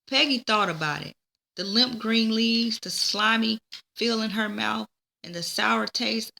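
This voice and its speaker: A woman reading a children's story aloud in a deliberate way, now and then stumbling and repeating words. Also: fairly high pitch, creaky, pushed and bright. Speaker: teacher reading a book aloud. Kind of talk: children's read-aloud narration.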